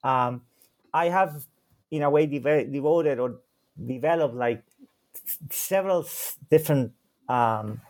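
A man's voice: medium pitch, measured pace, long pauses, darkish tone. A man from Chile, a Spanish speaker, reflectively telling his personal story as an interview guest. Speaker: political science scholar, interview guest.

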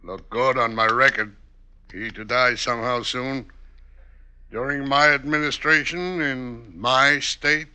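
Vain, scheming governor, sharp bright voice. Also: male voice, medium pitch, fairly even intonation, measured pace, clean tone. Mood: smug. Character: vain, scheming male state governor, fond of hangings. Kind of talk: old-time radio drama acting. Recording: noisy vintage radio recording.

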